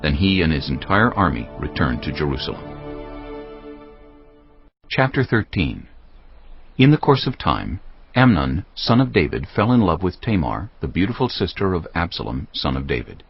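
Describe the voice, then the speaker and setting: Male narrator, very low gravelly voice, scripture storytelling, slightly muffled with music behind. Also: sing-song, normal speed. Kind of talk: scripted dramatized Bible narration.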